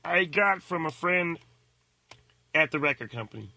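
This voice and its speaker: A man voicing a dim, earnest young radio sidekick who talks haltingly in comedy banter. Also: a little high, animated, creaky, pushed and bright, dry recording, voice pushed up, exaggerated voice. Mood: defensive.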